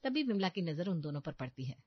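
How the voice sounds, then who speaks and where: A woman's voice: lowish voice, big pitch swings, quick pace, slightly rough, dry recording, dull audio. A woman narrating a scripted story as a storyteller.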